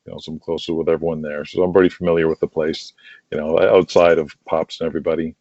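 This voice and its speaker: A man speaking as a guest in a casual conversational interview, chatty and quick to laugh, on muffled lo-fi audio. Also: very deep voice, level tone, a little mellow, no room sound. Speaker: podcast guest, wrestling promoter.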